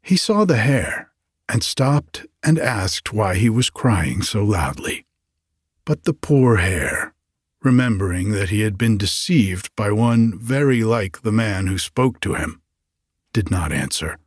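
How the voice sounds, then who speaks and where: A man narrating a bedtime story slowly and quietly, in an unhurried, hushed manner with long pauses. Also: on the low side, animated, husky, no echo, clean studio sound. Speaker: podcast host reading bedtime stories.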